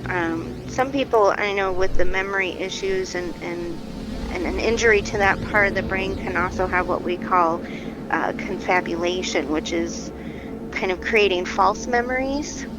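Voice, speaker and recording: An actress playing a supportive brain-injury therapist, gently explaining and encouraging in a naturalistic audio drama. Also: female voice, fairly low pitch, normal speed, loud music behind the voice, lightly acted, poor audio. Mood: explanatory, encouraging.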